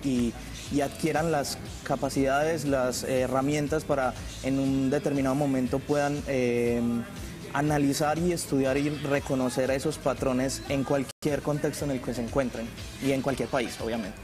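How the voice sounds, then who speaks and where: A young man from Colombia, a teacher explaining as a studio guest, hesitant and pausing as he goes, on a lapel mic. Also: medium pitch, little pitch movement. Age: young adult. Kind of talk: informal explanation in a TV interview. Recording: music bed behind the voice.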